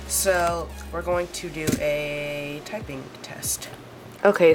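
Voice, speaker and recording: A woman with a low, slightly hoarse voice talks through a gadget unboxing in a loose, halting way, filling the gaps with ums. Clear music plays underneath her. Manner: rambling, halting, many fillers. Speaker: tech reviewer. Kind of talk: casual unboxing review.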